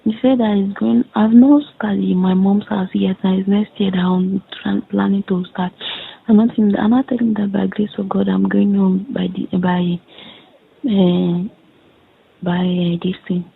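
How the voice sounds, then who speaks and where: A young woman with a Nigerian accent speaks on a muffled, lo-fi phone recording. Her delivery stays fairly flat, with a gravelly creak in it. She tells things haltingly, circling back and repeating herself as she relays reported dialogue. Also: mid-range voice. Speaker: caller in a private phone conversation. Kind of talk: casual recounting of a conversation.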